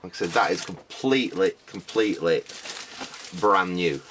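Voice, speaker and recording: Low-voiced man chatting about finds. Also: moderate pace, fairly bright tone, a little hiss or hum. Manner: rambling, repeats "like I said", many ums. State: slightly croaky voice. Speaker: vlogger showing car boot finds. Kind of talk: casual chat to camera.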